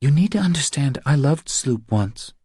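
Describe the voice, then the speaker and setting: A man's voice: medium pitch, expressive, normal speed, dark tone, slightly rough, no room sound, clean studio sound, voice pushed up. A man voicing an earnest young mother who confides her troubles in an audiobook. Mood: earnest, confiding. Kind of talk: audiobook character dialogue.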